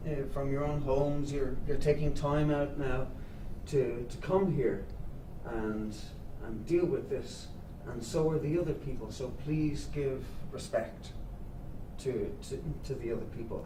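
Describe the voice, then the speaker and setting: A man's voice: medium pitch, measured pace, natural voice. An actor playing an earnest male therapist who leads an anger-management group and talks with many hesitant fillers. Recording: echoey room, distant mic, noisy.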